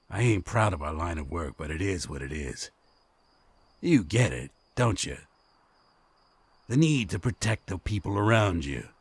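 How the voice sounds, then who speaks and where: Gravelly, weary wounded bandit leader, acted by a man. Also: low voice, no echo. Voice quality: gravelly, rough. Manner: coarse, folksy speech with slow, labored delivery. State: injured, coughing. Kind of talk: fantasy audio drama acting.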